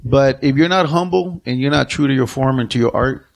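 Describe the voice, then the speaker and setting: A man in casual podcast chat, retelling comedy bits with lively energy. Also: mid-range voice, a little fast, creaky. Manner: lively, laughs while retelling jokes.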